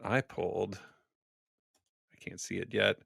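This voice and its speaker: Crisp-edged man at a gaming table, dry sound. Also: low voice, flat delivery, measured pace, a bit bright, dry recording. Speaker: tabletop game player. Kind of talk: casual game-table chat.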